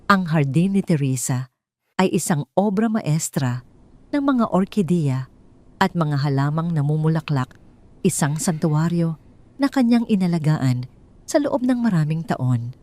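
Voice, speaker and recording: Woman, storyteller, low pitch, dark mellow timbre, scripted dramatic narration. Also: lively intonation, unhurried.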